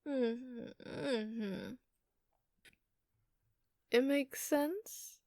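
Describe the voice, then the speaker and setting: Woman voicing a slow, haughty, doting giantess dragon. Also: high voice, a little mellow, no room sound, clean studio sound, full character voice. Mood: calm, self-assured. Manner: unhurried, condescending, teasing, with drawn-out hums. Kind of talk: ASMR roleplay.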